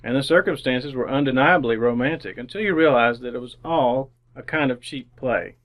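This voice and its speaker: A man's voice: mid-range voice, moderate pace, a bit bright, close to an everyday voice. An actor playing an earnest, jaded young ad man who narrates his misadventures in old-time radio drama style. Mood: wry, brooding.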